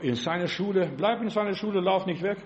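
A man's voice: high-pitched, fairly quick, highs cut off. A man in his late seventies from the former Soviet Union preaching a sermon in an exhorting, repetitive manner, as a with frequent short affirming tags.

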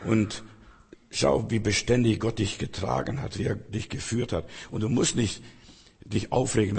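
A hoarse man preaching an earnest sermon full of rhetorical questions. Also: lowish voice, darkish tone. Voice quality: raspy, gravelly. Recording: long hall reverb.

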